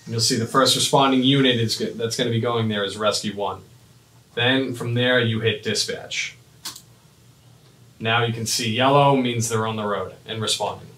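A man in his thirties giving a step-by-step software demonstration in short, clipped instructional phrases. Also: medium pitch, fairly even intonation, normal speed. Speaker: fire dispatch trainer. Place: small indoor office at a computer desk. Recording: roomy, echoing, mic at a distance.

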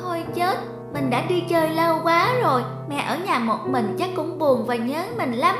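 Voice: sits high, normal speed, lightly acted. An actor voicing an eager, affectionate young boy with a polite, pleading manner in a children's cartoon. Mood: remorseful. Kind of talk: dubbed children's cartoon dialogue. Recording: music behind the voice.

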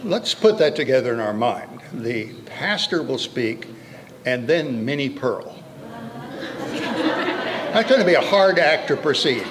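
A man's voice: mid-range voice, sing-song, slow, long pauses, crowd noise, background noise. A man in his sixties giving church announcements from a lectern, genial and joking, with many fillers. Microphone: lectern mic, filmed from mid-room.

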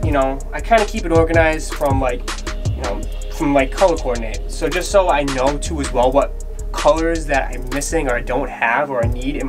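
A man in his thirties with a Boston accent from Massachusetts, giving a casual closet tour in a small closet, gushing about each piece with lots of fillers. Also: a little high. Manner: enthusiastic, filler-heavy, gushing. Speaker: fashion vlogger. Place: small bedroom closet, indoors. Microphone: camera on tripod at close range. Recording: background music under voice.

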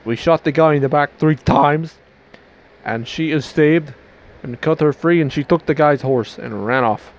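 Young male streamer, slightly high voice, loose rambling outro on a headset mic, small bedroom. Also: unhurried, highs cut off. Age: young adult. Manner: rambling, loose restarts, Southern y'all. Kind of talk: casual stream outro to camera.

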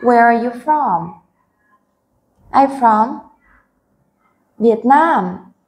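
A woman in her thirties leads a one-on-one phonics lesson, breaking each word into slow, deliberate sounds. Her voice sits a little high and moves at a very unhurried pace. The small classroom adds a noticeable echo. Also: expressive, darkish tone. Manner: patient, slow, sounding out words letter by letter. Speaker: English teacher. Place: small classroom with a world map on the wall.

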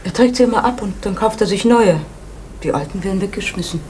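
A woman's voice: medium pitch, fast talker, darkish tone, natural voice. A woman playing a doting middle-aged mother who speaks in a gushing, slightly defensive way. The voice sounds a bit distant, with faint tape hiss under it. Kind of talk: 1980s East German radio crime drama. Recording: old radio play recording with hiss.

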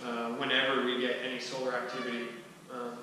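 Far from the microphone in a very echoey hall sounds a man with a bright, forceful voice. He presents casually, pausing and restarting as he thinks aloud. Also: medium pitch, monotone, a little hiss or hum. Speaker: presenter at a skillshare. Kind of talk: informal talk to a small group. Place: large wooden-floored hall, indoors. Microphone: distant camera microphone.

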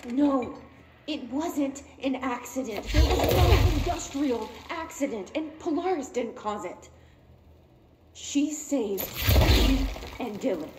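An actress playing a troubled, insistent young woman in therapy in a video game, speaking haltingly. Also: sits high, lively intonation. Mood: agitated, defiant. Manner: hesitant, halting. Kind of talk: naturalistic video game acting. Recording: roomy echo, faint background noise.

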